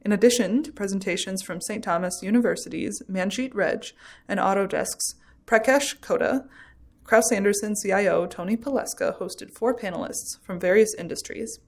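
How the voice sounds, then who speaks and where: A woman narrates for a podcast from a script. Her voice sits at an average pitch for a woman. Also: moderate pace.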